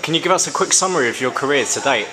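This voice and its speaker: A man's voice: mid-range voice, animated, racing, crowd noise, low-quality recording. Male interviewer asking brief questions. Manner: brief, prompting.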